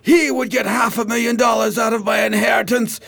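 A desperate, ailing gambler confessing his guilt in a radio play. His voice is very high for a man, gravelly and husky. He sounds strained and frantic throughout. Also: little pitch movement, fairly quick, a bit bright, faint music behind, exaggerated voice. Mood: anguished confession. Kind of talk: amateur radio drama acting.

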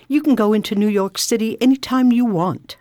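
A woman's voice: a little high, brisk, darkish tone, dry recording, natural voice. Woman acting a haughty, scolding elder sister. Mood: haughty disapproval. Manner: haughty, indignant. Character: snobbish, scolding older sister of the groom. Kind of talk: radio drama acting.

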